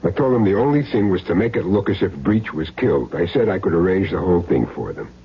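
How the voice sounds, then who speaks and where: Cagey American hired gun, very animated intonation, streetwise, self-justifying, radio crime drama, muffled hissy old recording. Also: male voice, low voice, fast. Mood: earnest, self-justifying. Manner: streetwise, plain slangy talk, earnest self-justifying. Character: cagey small-time hired gun confessing, insisting he's clean. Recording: dull, noisy old radio recording with hum.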